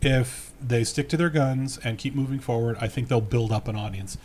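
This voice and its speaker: A man's voice: medium pitch, steady tone, normal speed, darkish tone, slight background noise. American man chatting casually as co-host. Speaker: podcast co-host. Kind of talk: casual chat. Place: small indoor room at home.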